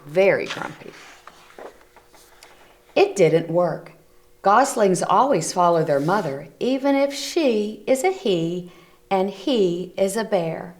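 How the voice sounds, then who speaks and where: A woman reading a picture book aloud to children, gently explaining the pictures as she goes. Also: medium pitch, animated, slow, pauses often. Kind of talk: read-aloud storytelling for children. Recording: community TV recording.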